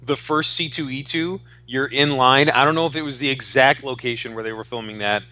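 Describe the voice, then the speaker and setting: A man in his forties with a bright, crisp-edged voice of average male pitch. He co-hosts a podcast in a casual, enthusiastic style and swears freely. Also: steady tone, brisk. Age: 40s. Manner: profane, enthusiastic. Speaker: podcast co-host. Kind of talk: casual geek-culture chat.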